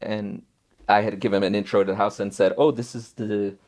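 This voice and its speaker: A man's voice: lowish voice, a little fast. A man from Mexico, around his thirties, working as a museum curator and talking casually, telling stories thoughtfully with bursts of laughter. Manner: thoughtful, lively storytelling with laughs. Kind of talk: casual conversation.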